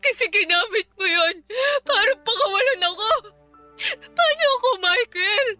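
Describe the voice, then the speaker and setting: A woman's voice: very high-pitched, animated, moderate pace, bright, forceful tone, soft background music, full character voice. A woman playing a heartbroken, desperate young woman in a radio drama, pleading tearfully and stubbornly.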